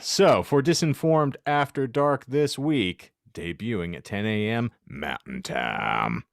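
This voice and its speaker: A man's voice: fairly low pitch, big pitch swings, slow pace, gravelly, no room sound, studio quality. A man hosting a comic podcast, talking in a theatrical, florid and wordy way. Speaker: podcast host.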